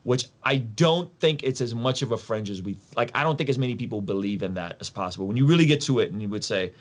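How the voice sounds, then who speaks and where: A man's voice: mid-range voice, quick pace. Emphatic man explaining casually in slangy talk. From Soviet Russia. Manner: emphatic, slangy, repeats phrases and poses rhetorical questions. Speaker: podcast guest.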